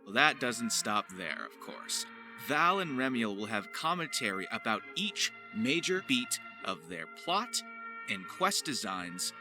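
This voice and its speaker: A man's voice: medium pitch, sing-song, a little slow, music in the background. A man hosting a scripted video as a voice actor, with playful, theatrical and grandiose phrasing.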